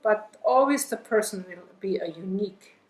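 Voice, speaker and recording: A woman from Germany with a German accent, speaking reflectively in an interview with measured pauses. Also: mid-range voice, expressive. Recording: echoey room, guitar music underneath. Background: clear guitar music.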